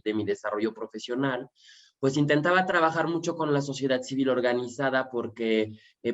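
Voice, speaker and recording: A man's voice: mid-range voice, muffled recording. Hesitant young man from France. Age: young adult. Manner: hesitant, many fillers. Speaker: guest activist.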